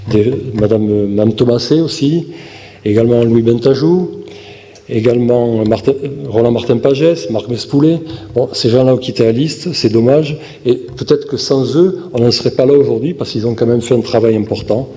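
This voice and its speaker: A man in his fifties from southern France giving a halting campaign speech to a local audience, with fillers and restarts. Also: medium pitch, mellow. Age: 50s. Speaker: municipal election candidate. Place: meeting hall. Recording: long hall reverb with faint room noise.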